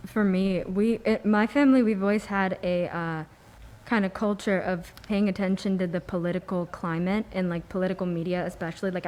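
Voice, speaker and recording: Articulate young American woman, medium pitch. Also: a little mellow. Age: young adult. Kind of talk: reflective discussion.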